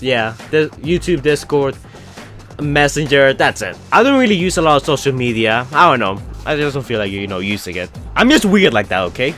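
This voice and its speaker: Young male YouTuber with a foreign-sounding accent, chatty and rambling, lively pitch, soft music bed. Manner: rambling, chatty, frequent fillers. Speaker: YouTuber answering fan questions. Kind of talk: casual Q&A chat.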